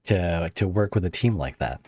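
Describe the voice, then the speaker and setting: A man's voice: low-pitched, expressive, moderate pace, darkish tone, no echo. A man hosting a podcast interview, chatty and joking with his guest. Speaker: podcast host. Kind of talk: casual interview chat.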